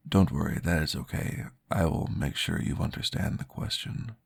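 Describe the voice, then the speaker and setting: Deep, gravelly, slow-spoken vampire therapist, formal and reassuring, in an ASMR roleplay, voiced by a man. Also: exaggerated voice.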